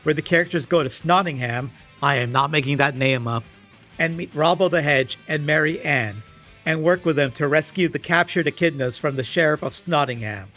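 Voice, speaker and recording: Male video essayist, higher-pitched, wry and exasperated, casual scripted comedy commentary with faint music underneath. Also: normal speed, bright, forceful tone. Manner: sarcastic, exasperated; casual, swearing. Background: background music.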